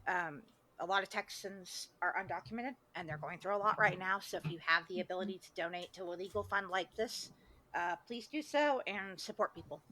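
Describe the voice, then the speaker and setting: A woman's voice: fairly low pitch, fairly quick, sharp tone, rough recording. A woman talking casually as a podcast panelist. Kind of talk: casual chat.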